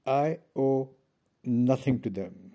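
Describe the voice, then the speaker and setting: A man from India giving a pointed, measured speech from a conference podium. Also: medium pitch, mellow. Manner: measured, pointed, with pauses between phrases. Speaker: conference speaker.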